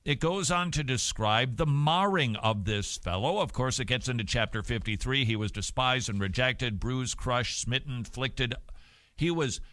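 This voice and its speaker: Animated middle-aged guy hosting a talk show, bright punchy voice, dry close studio mic. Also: mid-range voice, normal speed, no echo. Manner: animated, joking, emphatic. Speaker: radio and TV show host. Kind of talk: talk show commentary with Bible reading. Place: small dim studio set. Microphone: studio mic on boom arm.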